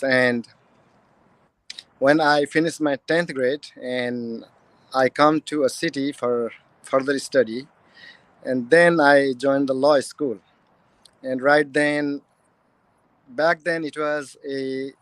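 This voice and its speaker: A man with a Nepali accent answers interview questions very slowly, in plain, broken English. His voice sounds dry and close, with no room around it. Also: medium pitch, no room sound. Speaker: guest.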